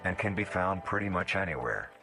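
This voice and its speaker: A man reading scripted educational narration in a measured, formal, clinical manner. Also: low-pitched, animated, gravelly, bright, forceful tone, faint music behind. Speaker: documentary narrator.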